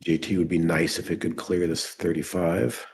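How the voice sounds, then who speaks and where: A man hosting a live trading show, thinking aloud as he works, his voice hoarse and fading. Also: low voice, steady tone, moderate pace, a little mellow. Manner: thinking aloud while working on screen.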